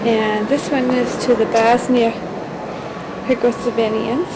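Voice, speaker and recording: A woman's voice: on the high side, level tone, measured pace. A woman making casual on-the-spot remarks on a tour, pointing out what is around her. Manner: pointing things out. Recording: very noisy handheld recording, urban traffic.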